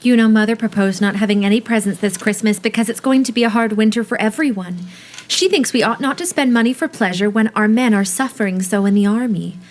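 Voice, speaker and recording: A woman's voice: medium pitch, fairly quick, natural voice. A woman playing a proper, ladylike eldest teenage sister in a period audio drama, with a prim, reproving manner. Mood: wistful. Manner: prim, scolding, wistful. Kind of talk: period radio-play acting.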